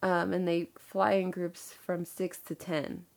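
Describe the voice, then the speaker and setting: A young woman chats casually as a podcast co-host, her voice a little low and gravelly at the edges. She sounds stuffy and nasal from a cold and fills her talk with likes and ums. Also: flat delivery, takes it slowly, darkish tone. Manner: chatty, laughing, filler-heavy. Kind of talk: casual chat.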